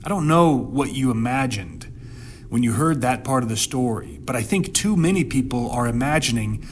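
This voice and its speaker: A man hosting a Bible podcast, delivering dramatic scripted commentary and scripture reading with emphatic repetition, rhetorical questions and deliberate pauses. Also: medium pitch, lively intonation, a little hiss or hum. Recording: music playing under the voice.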